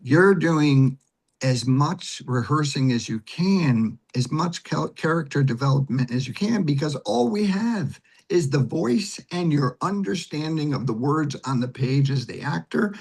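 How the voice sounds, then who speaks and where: A man in his late sixties talking as an interview guest, explaining his craft in an emphatic, deliberate way. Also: fairly high pitch, animated, creaky, a little mellow, slightly rough. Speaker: stage actor and director, guest. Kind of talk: conversational interview talk.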